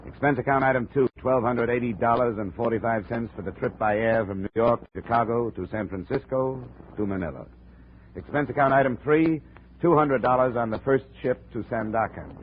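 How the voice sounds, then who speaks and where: An American actor playing a hard-boiled insurance investigator in a 1950s radio drama, terse, dry and matter-of-fact. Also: male voice, medium pitch, brisk, close to an everyday voice. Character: hard-boiled, blunt insurance investigator, narrating his own case. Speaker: lead actor of a radio detective series. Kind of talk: 1950s radio noir narration and dialogue. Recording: muffled, noisy 1950s radio recording.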